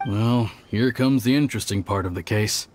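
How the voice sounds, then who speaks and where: An actor playing an older police officer in a video game, measured and sceptical as he recounts and admonishes. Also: fairly low pitch, very noisy, lightly acted. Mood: reflective. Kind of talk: video game voice acting, storytelling dialogue.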